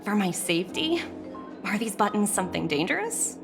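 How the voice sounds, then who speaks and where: A young female executive from a video game. She speaks formally and politely with very lively intonation, sharp and quick to exasperation, over clear background music. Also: mid-range voice. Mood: curious, polite. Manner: formal and deferential.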